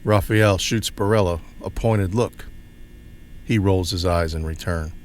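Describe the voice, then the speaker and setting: A man's voice: sits low, unhurried, slight background noise. A man giving scripted narration for an audio drama, setting each scene as the narrator.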